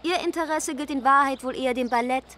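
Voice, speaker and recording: A woman playing a sharp-tongued, teasing upper-class young daughter in a radio play. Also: high voice, quick pace. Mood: mocking.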